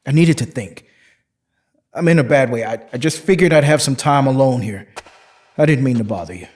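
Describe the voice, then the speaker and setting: An actor playing a desperate, defensive man weighed down by guilt, speaking in a halting, guarded way in an audio drama. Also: mid-range voice, a little mellow, lightly acted. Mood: subdued, apologetic.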